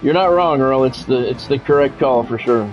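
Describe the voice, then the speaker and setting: A man's voice: mid-range voice, moderate pace, muffled recording, faint music behind. A man doing practised radio-style football commentary in clipped phrases, mixed with casual chat, as he streams a video game.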